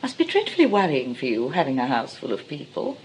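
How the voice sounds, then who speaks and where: An actress playing a flirtatious, determined society woman in a 1920s comedy of manners, gushing, archly polite and quick to take offence. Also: a bass voice, huge pitch swings, darkish tone, slight background noise, full character voice, rough recording. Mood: coy admiration. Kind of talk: 1920s English comedy of manners stage acting.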